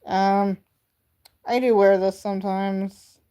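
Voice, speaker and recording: Slow, rambling teen girl streamer. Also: mid-range voice, steady tone, warm tone, smooth. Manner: rambling, filler-heavy with many "like" and "um"; chatty and talks straight to viewers. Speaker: livestream host. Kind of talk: casual livestream chat showing handmade crafts.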